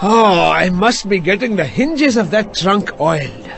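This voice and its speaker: An actor playing a reluctant immigrant cab driver in a radio drama, plaintive and protesting. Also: male voice, high-pitched, sing-song, a little slow, vocal fry, music in the background, heavily acted. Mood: worried, complaining. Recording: noisy background ambience.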